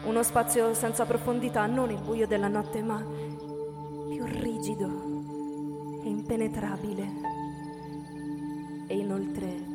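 A woman's voice: medium pitch, takes it slowly, long pauses. A woman narrating a scripted story dramatically, as the narrator of a radio drama. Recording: reverberant hall with music behind. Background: music.

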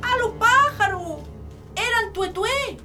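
A superstitious rural Chilean wife in a radio play. Her voice is high and swings widely, with colloquial, exclamatory country phrasing. She comes across as anxious and bossy, with music prominent behind her. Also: expressive, slow pace, bright, forceful tone, heavily acted. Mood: fearful, scolding. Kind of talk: radio drama acting.

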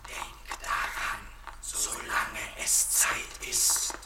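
A spooky disembodied voice, really slow sharp whisper, flat and commanding, old radio play. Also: male voice, on the low side, husky, heavily acted. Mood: eerie, insistent. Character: eerie, insistent disembodied warning voice. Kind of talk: German radio play acting.

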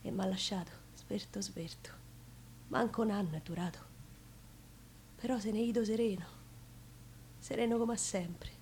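A woman's voice: mid-range voice, a little hiss or hum, natural voice. An actress playing a passionate, plain-spoken working-class woman with a Roman accent, recalling her love in an intimate theatrical monologue. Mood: hushed grief. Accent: Roman Italian. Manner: intimate, emotional storytelling with expressive pauses. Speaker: stage actress.

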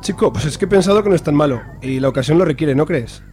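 A man playing a loyal, crude friend who talks in quick slang. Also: fairly high pitch, animated, a little mellow, close to an everyday voice.

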